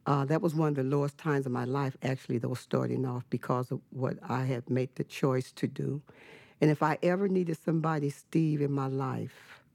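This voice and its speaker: An older woman from California, a guest with a low voice, slowly recalling her life in a reflective interview with many pauses. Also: fairly even intonation, creaky, darkish tone, no echo. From California, United States. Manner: slow, reflective, with frequent pauses and fillers. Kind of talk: personal storytelling in an interview.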